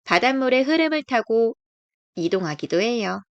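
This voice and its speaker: A woman's voice: a little high, animated, normal speed, no room sound, highs cut off. A woman narrating a scripted children's nature book in a careful, explanatory read-aloud way. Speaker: storybook narrator.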